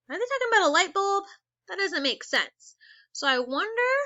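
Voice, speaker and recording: Bright-voiced woman reading to kids. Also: sits high, no echo, highs cut off. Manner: reads slowly, pauses to ask questions. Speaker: teacher. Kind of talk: read-aloud lesson for children.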